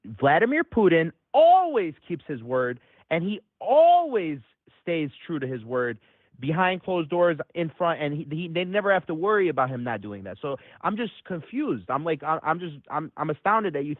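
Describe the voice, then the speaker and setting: A caller from Metro Detroit arguing heatedly, his voice jumping high when he gets worked up. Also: moderate pace, no echo. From Metro Detroit, USA. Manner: excitable, voice jumps high when agitated. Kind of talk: heated call-in debate. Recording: tinny phone line.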